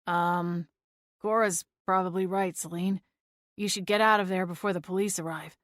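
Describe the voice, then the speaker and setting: A woman's voice: on the low side, steady tone, moderate pace, dry recording, clean studio sound, natural voice. A woman voicing a stunned young man in a storybook reading, speaking in a hesitant, subdued way. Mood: shaken.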